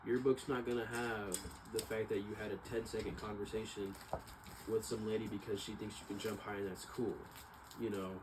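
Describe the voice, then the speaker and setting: Young man, slightly low voice, casual rambling vlog, reflective, hesitant, noticeable background noise. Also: fairly quick. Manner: hesitant, reflective, circling back over points. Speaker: vlogger. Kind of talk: casual rambling monologue to viewers. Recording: clear steady background noise.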